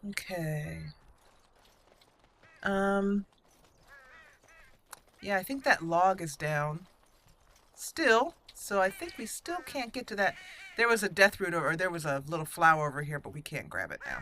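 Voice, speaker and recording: A woman's voice: on the low side, expressive, a little slow, long pauses, a bit bright, slight background noise. A woman giving casual commentary as she plays and roleplays through a fantasy video game. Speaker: gaming channel host, player. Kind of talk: casual let's play commentary with in-character roleplay.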